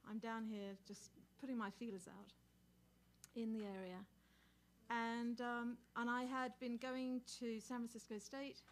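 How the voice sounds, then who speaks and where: A woman's voice: on the high side, level tone, unhurried, slight background noise. Middle-aged woman chatting casually from a stage. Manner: informal, conversational. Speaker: singer-songwriter. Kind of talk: casual introduction of a song to a small audience. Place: small cluttered bar stage, indoors. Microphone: microphone on a stand.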